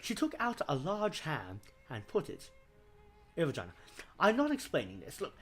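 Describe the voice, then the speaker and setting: A man's voice: on the high side, wildly swooping pitch, moderate pace, fairly bright tone, soft background music. A man narrating a comedic story reading in a theatrical, mock-dramatic manner. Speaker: fan fiction reader.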